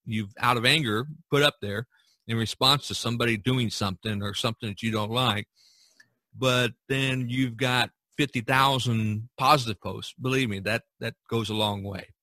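A man's voice: mid-range voice, fairly even intonation, normal speed, bright, forceful tone, no room sound. A middle-aged or older man hosting a podcast in a casual, rambling monologue, repeating phrases for emphasis. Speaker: podcast host.

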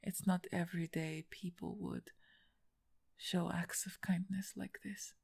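A woman playing a big, gentle, injured half-orc in an ASMR roleplay, speaking softly and hesitantly with a breathy, husky tone. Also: lowish voice, steady tone, full character voice. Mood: soft, sincere. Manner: hesitant, soft-spoken, halting with pauses.

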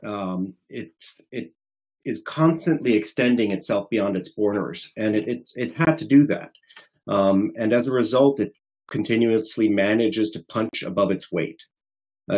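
A man's voice: on the low side, slightly rough, low-quality recording. A man with an American accent giving a polished, explanatory webinar presentation as a trade official. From Estonia and the United States. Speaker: government trade director, guest speaker.

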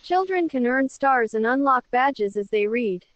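A woman voicing a friendly young animated reading assistant in an app, giving scripted prompts in an encouraging way. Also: sits high, measured pace, dry recording. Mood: friendly, instructive. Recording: dull app audio, dry.

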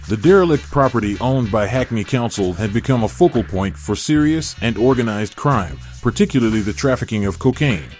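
A man giving a steady, measured scripted documentary narration. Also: mid-range voice.

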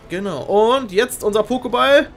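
Excitable young man commentating over music. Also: high-pitched, moderate pace. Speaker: game show host. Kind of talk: live commentary of a Minecraft race. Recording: music behind the voice.

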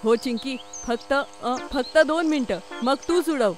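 A woman's voice: high-pitched, a little slow, a little mellow, soft background music. A woman playing a curious, eager young boy in a children's cartoon, speaking expressively. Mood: excited.

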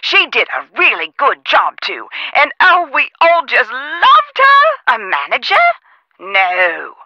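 A woman playing a chatty phone operator in a video game, with expressive customer-service delivery over a muffled line. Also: sits high, takes it slowly, no room sound, heavily acted. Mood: gushing, then taken aback. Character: perky, chatty castle staffing phone operator. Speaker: call centre operator.

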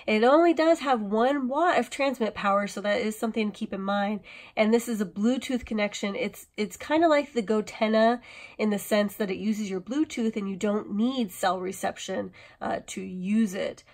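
A woman's voice: medium pitch, moderate pace. A woman in her thirties with an American accent, explaining things informally to viewers in a conversational, hedging way. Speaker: host of a prepping channel.

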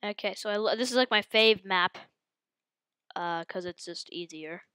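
A woman with a head cold hosting her gaming channel, giving excitable live commentary and yelping at the game as she plays. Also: medium pitch, moderate pace, clear, resonant tone, no echo, clean studio sound. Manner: excitable, yelps and exclaims at the game.

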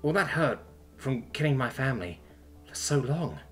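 A tough woman from a sci-fi story, acted out by a man, wry and tender underneath. The voice is pushed high and moves freely in pitch, with a bright edge and music behind it. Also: very animated, moderate pace, lightly acted. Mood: grieving, pleading. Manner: blunt, wry, casual swearing. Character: tough, foul-mouthed, battle-worn Terran woman, teasing and tender.

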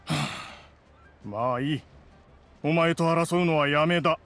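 A man playing a dignified, grumbling immortal in human guise in an anime game, with formal, archaic and measured speech. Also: on the high side, a bit bright, soft background music. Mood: exasperated.

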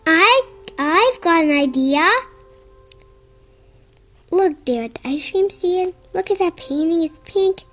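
A child reading a children's picture book aloud in an expressive, somewhat halting way, with stumbles. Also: very high voice. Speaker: storybook reader.

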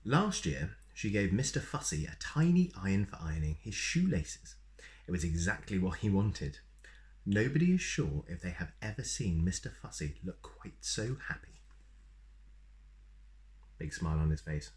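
Expressive man with a very low voice. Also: moderate pace. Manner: expressive, playful. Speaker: storyteller reading a children's picture book. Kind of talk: animated read-aloud with asides to young listeners. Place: small plain room indoors.

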